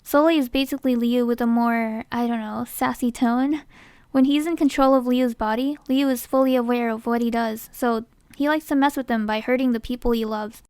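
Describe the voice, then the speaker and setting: A woman's voice: on the high side, fairly even intonation, normal speed, a little mellow, clear, resonant tone, no echo. A woman hosting a casting call with scripted narration.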